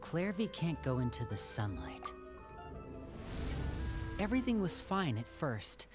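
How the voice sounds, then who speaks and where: A man playing an earnest, polite young man in a game drama, articulate and given to dramatic pauses. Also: a little high, sing-song, over music. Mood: troubled, earnest. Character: polite, expressive young male storyteller, gentle and earnest.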